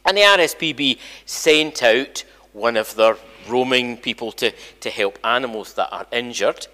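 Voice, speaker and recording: A man's voice: medium pitch, normal speed, pushed and bright. An older Scottish man, a church minister, chatting informally and animatedly to his congregation as he tells stories. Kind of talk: informal all-age church talk, storytelling. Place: large church sanctuary, indoors. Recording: echoey church hall, long reverb.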